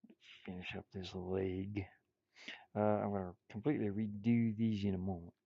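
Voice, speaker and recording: Man, low gravelly voice, casual absent-minded self-talk, close and dry, dull lo-fi sound. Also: level tone, moderate pace, no room sound. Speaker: game artist streaming a drawing session. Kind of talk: casual muttering while drawing.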